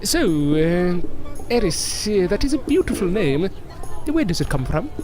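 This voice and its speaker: An actor as a proud, courteous circus newcomer, animated. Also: mid-range voice, a little slow, music in the background. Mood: awkward, polite. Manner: hesitant, courteous, earnest, formal. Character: proud, polite circus newcomer, a fallen star performer. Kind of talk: audio drama acting.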